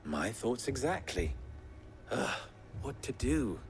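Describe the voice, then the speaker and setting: A man playing a shrewd, measured town leader in a medieval fantasy game, speaking in a deliberate, wry, theatrical way. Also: mid-range voice, sing-song, slight background noise. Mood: troubled. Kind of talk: dramatic video game cutscene dialogue.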